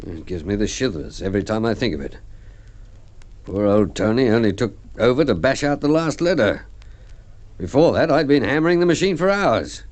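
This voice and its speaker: A man's voice: medium pitch, lively intonation, normal speed, a little mellow, close to an everyday voice. A man playing a smug, suave company director with a glib, self-assured manner in a mid-century radio drama. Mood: shaken, plausible.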